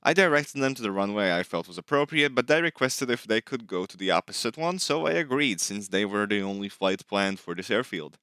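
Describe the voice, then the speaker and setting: A man with a fairly low, clearly bright voice narrates a scripted gameplay recap. The recording is dry and close, with very little room sound. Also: a little fast, hardly any pauses, no echo. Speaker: video narrator, flight sim hobbyist.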